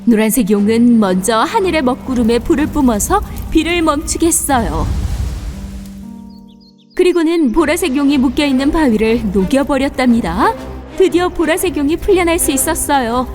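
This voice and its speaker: A woman narrating a scripted fairy tale for children in an expressive storybook manner. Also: high-pitched, moderate pace, soft background music.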